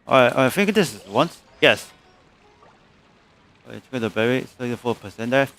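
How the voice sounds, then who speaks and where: A man's voice: medium pitch, lively intonation, unhurried, a bit bright, soft background music. A man giving casual commentary as he live-streams a video game.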